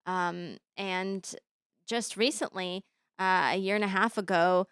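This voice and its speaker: Very clear-voiced woman, Bosnian-born. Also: fairly low pitch, steady tone, slow, fairly bright tone, dry recording, clean studio sound. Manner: thoughtful, many fillers. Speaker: interview guest, scholar. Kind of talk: conversational interview.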